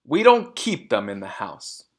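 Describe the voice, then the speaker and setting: A man acts out a boorish storybook dad, blustering and dismissive. He is loud and emphatic, with pitch that leaps around. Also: wildly swooping pitch, a little slow. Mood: scornful.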